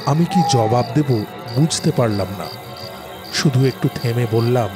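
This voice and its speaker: Brief: male narrator for an audio horror story, unhurried, mid-pitched, suspenseful, music bed underneath. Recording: background music.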